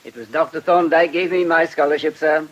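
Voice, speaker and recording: An actor playing a respectful, earnest manservant in a radio drama, formal and deferential. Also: male voice, on the high side, fairly even intonation, a little fast, natural voice. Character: deferential, grateful manservant assistant, quaintly earnest. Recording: dull lo-fi sound.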